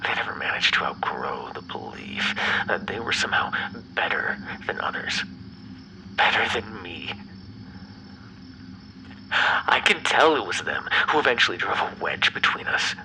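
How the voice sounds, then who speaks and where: A man playing an obsessive, bitter, menacing ex-lover in an ASMR roleplay, speaking in a breathy, brooding half-whisper. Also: low voice, big pitch swings, sharp tone, a little hiss or hum, full character voice, poor audio. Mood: bitter, wounded. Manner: slow, brooding, theatrically menacing. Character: obsessive, bitter yandere male vampire ex-lover, menacing captor.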